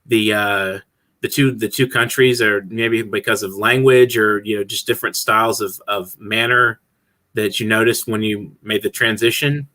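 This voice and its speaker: A man's voice: on the low side, steady tone, moderate pace, sharp tone. A male host asking long, rambling interview questions padded with fillers and restarts. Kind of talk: casual interview.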